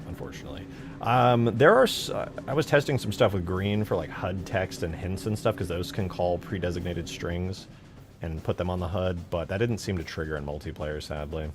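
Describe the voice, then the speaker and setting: A man giving casual livestream commentary as a game modder, informal and low-key, muttering to himself as he works. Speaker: game modder streaming his work. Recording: music behind the voice. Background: music.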